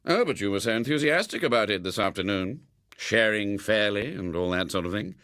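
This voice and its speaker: Guy doing dry british dad, middle aged, sardonic and mock polite, lively intonation, close dry sound. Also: fairly low pitch, fairly quick, dry recording. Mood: teasing. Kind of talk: dramatised audiobook reading.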